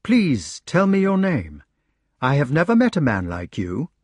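A man voicing an excited, affectionate storybook knight, gushing and exclaiming as he speaks. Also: a little high, a little slow, breathy edge, no room sound, clean studio sound, voice pushed up, heavily acted. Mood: awed, curious. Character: excited, affectionate knight searching for his lost friend. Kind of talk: storybook character voice.

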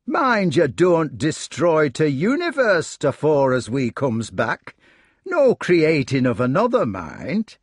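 A man's voice: a little high, wildly swooping pitch, slow pace, slightly rough, no room sound, studio quality, voice pushed up, heavily acted. A man voicing a small, indignant, vulgar hedgehog in a storybook, with a rustic English country dialect and a truculent, folksy, sarcastic manner. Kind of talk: audiobook character voice.

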